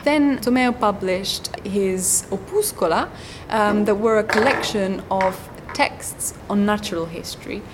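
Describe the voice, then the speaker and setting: A woman guest on an academic interview, explaining at length and pausing often with ums and uhs. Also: mid-range voice, background noise. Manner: hesitant, many ums and uhs. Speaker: guest scholar.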